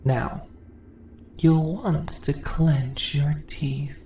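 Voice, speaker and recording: A woman acts out a predatory female spider monster in a fantasy ASMR roleplay. She speaks extremely slowly in a low, breathy voice with some creak, slipping into whispers. Her manner is seductive, mocking and in control, heard in a slightly echoing space. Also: steady tone, dark tone, heavily acted. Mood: mocking, commanding. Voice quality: breathy, often dropping into whispers. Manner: slow, teasing, condescending, drawn-out questions. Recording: roomy echo, faint background noise.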